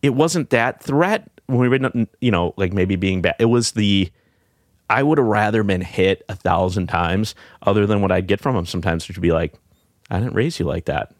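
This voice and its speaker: Deep-voiced man, low and dry. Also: lively intonation, no echo. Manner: reflective, halting, many fillers and restarts. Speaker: podcast guest. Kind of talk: casual heartfelt conversation.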